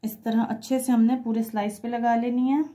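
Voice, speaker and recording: A woman's voice: fairly high pitch, level tone, a little fast, mellow. A woman presents a home recipe step by step, conversational and instructional, mixing in English words. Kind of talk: step-by-step cooking tutorial voice-over.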